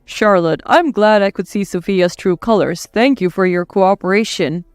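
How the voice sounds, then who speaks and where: A woman voicing a middle-aged uncle and company boss in a story, measured and grave, stern but kindly. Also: medium pitch, clear, resonant tone, no room sound, studio quality, pitched below the performer's own voice, natural voice.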